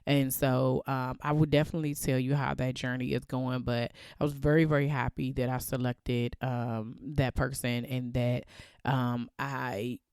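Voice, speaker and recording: A man talks through a solo podcast in a loose, conversational way, often pausing on "um" and tagging lines with "right?". His voice is smooth and resonant, and the sound is dry and close. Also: mid-range voice, flat delivery, a little mellow, no echo. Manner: conversational, frequent "right?" and "um" fillers. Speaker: podcast host. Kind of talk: casual solo podcast talk.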